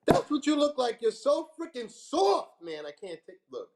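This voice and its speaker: A man's voice: extremely high pitch, wildly swooping pitch, normal speed, gravelly, a little mellow. A man guesting on a sports stream, mocking a player with a string of rhetorical questions. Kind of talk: comedic roast.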